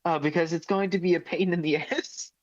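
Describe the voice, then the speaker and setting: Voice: medium pitch, fairly even intonation, brisk, dry recording, lo-fi sound. A tabletop role-playing player chatting casually during the game.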